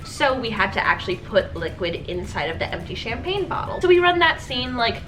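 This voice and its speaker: A young American woman telling casual comic stories to a friend in an animated way, acting out people's reactions. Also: a little high, fairly quick, music in the background, low-quality recording. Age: young adult. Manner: animated, acts out quoted reactions. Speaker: video host. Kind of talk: casual comedic storytelling with a friend.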